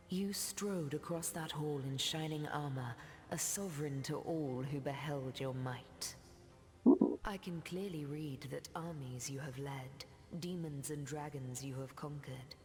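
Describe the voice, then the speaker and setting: An actress playing an ancient mystical seer in a fantasy game, speaking solemn, cryptic, archaic lines in a breathy voice that often sinks toward a whisper. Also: female voice, low-pitched. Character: ancient mystical female seer who weaves threads of time. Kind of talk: scripted fantasy game dialogue. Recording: long cavernous reverb.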